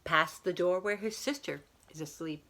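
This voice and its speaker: Lady librarian with a lowish voice reading a picture book to kids, explains things simply. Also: normal speed, pushed and bright. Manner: simple, explanatory phrasing addressed to children. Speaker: school librarian reading aloud to children. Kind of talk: children's picture book read-aloud with chat to young listeners.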